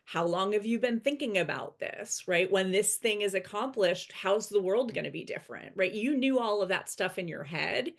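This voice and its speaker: A woman's voice: medium pitch, lively intonation, moderate pace, gravelly, few pauses. A woman executive coach, a guest on a webinar, explains her ideas steadily over a video call and keeps checking in with "right?". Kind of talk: casual webinar conversation.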